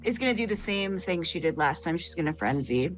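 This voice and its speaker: A woman's voice: fairly low pitch, expressive, brisk. A woman playing a tabletop fantasy game, casually calling out her character's actions and rolls. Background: music.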